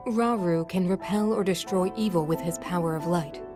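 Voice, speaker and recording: A woman's voice: lowish voice, normal speed, lightly acted. A woman voicing a composed, scholarly ancient sage and inventor in a video game, precise and explanatory. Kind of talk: video game cutscene voice acting. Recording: music behind the voice.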